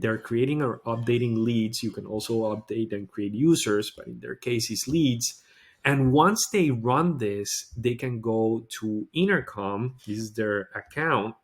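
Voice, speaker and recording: A man presenting a casual software walkthrough, explaining step by step in an informal way. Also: medium pitch. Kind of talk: casual screen-share product walkthrough.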